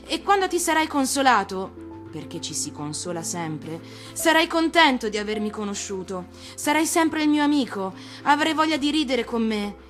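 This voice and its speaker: A woman voicing a tender, wistful little boy in a storybook, earnest and halting, often repeating words. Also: on the high side, big pitch swings, faint music behind, pitched above the performer's own voice. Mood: bright, playful. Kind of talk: dramatic storybook reading.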